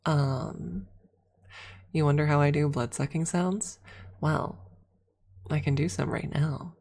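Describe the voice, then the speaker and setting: A woman with a soft, breathy voice, often near a whisper, chatting casually and playfully as an ASMR streamer close to the microphone. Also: low voice, fairly even intonation, slow. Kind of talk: casual ASMR livestream chat with viewers.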